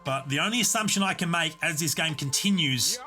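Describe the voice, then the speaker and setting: Bright-voiced male game caster. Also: fairly high pitch, fairly quick, soft background music. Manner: steady, explanatory play-by-play. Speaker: esports caster and streamer. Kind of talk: live game commentary. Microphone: desk USB condenser mic.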